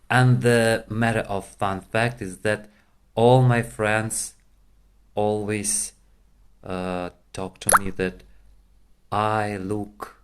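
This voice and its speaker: A man introduces himself in a halting, shy way. His low voice is smooth and clear but flat and slow, with long pauses between phrases. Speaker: language student. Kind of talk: short self-introduction.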